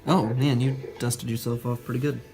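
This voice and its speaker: A man's voice: medium pitch, little pitch movement, normal speed, creaky, over music, poor audio. A man chatting casually and informally with a friend. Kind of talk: casual chat.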